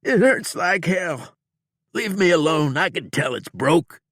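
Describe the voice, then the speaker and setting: A panicky, howling wounded outlaw. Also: male voice, fairly high pitch, animated, measured pace, a bit bright, dry recording, clean studio sound, pitched above the performer's own voice, heavily acted. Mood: panicked pain. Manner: yelping, howling and crying out in pain. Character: injured frightened male outlaw, panicky. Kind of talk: audiobook character voice.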